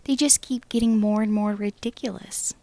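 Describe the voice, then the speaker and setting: Casting: a woman as a casual, teasing girlfriend in an audio drama, mid-pitched with some fry. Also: steady tone, normal speed, mellow, dry recording, natural voice. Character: casual, teasing girlfriend watching action movies.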